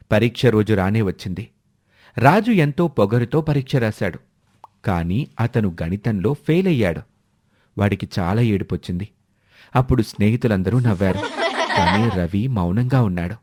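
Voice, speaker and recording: A man's voice: on the low side, lively intonation, normal speed, darkish tone. A man narrating a scripted moral story for children as a storyteller.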